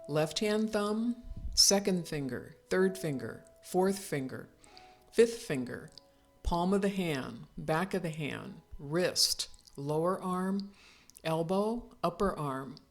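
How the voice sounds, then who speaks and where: Slow, low-pitched woman guiding relaxation. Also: faint music behind. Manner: calm, soothing, unhurried instructions with pauses. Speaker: yoga nidra instructor. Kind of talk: guided relaxation meditation.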